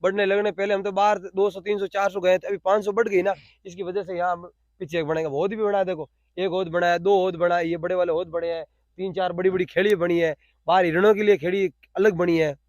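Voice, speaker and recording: A man with a Rajasthani accent speaks in a high voice with very little echo around it. He explains himself off the cuff as an interviewee, often restating a phrase to press the point. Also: steady tone, a little fast, a little mellow, highs cut off. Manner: repeats phrases for emphasis. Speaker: interviewee, cow shelter volunteer. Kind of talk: spontaneous explanatory interview answers.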